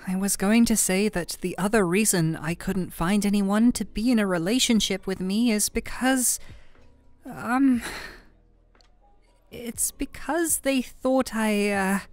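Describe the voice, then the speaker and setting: A woman's voice: mid-range voice, slow pace, dry recording, soft background music, close to an everyday voice. Woman playing a flustered girl best friend with a crush, kinda teasing, hesitant, takes her time, dry intimate roleplay audio. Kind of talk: intimate roleplay audio drama, one-sided conversation.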